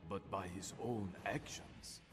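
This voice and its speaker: A wise old rat master speaks in a low, measured voice. He is solemn and fatherly, a patient teacher from a cartoon-style game, with soft music underneath. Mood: calm, fatherly.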